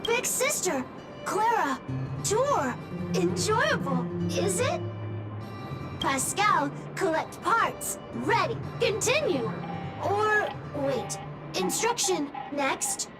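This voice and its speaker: A woman voicing a small, childlike male robot that speaks in clipped, broken single words, in a game cutscene over loud music. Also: very high voice, sing-song, very slow, heavily acted. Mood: halting, earnest. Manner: clipped broken word-by-word speech.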